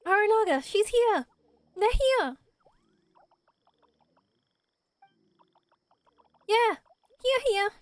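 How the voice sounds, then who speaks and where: A woman voicing a small, gentle, childlike forest spirit in a video game, solemn and earnest, with quaint, ceremonious phrasing. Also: very high voice, no room sound, exaggerated voice. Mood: excited. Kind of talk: amateur voice acting of video game dialogue.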